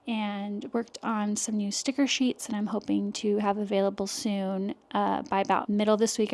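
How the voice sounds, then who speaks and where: A soft-spoken woman chatting casually to camera in her home art studio, talking through her work with lots of fillers. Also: mid-range voice. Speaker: illustrator vlogger. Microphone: external microphone.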